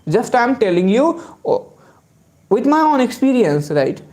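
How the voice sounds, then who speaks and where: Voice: mid-range voice, sing-song, a little slow, a little mellow. A spoken English teacher whose first language is Hindi, talking in an Indian English accent in an unscripted lesson to viewers. The speaker rambles and keeps tagging lines with "right?" and "you know".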